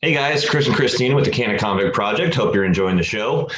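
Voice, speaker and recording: A man's voice: lowish voice, animated, fairly quick, muffled recording. A man casually introducing and interviewing a guest as co-host. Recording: echoey room with crowd murmur.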